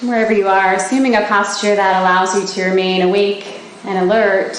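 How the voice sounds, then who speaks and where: A woman's voice: medium pitch, level tone. Woman guiding meditation in a very echoey room. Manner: slow, gentle instructions with long pauses. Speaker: meditation teacher leading a body scan. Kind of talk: guided meditation, spoken live to a group. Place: large indoor gathering space. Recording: very echoey room with faint background noise.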